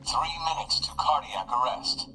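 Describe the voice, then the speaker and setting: Bright, impassive machine announcer. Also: male voice, medium pitch, moderate pace. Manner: flat, formal, clipped announcements. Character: impassive automated medical alarm machine announcer. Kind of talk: video game system announcement. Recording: distant speaker sound with faint music.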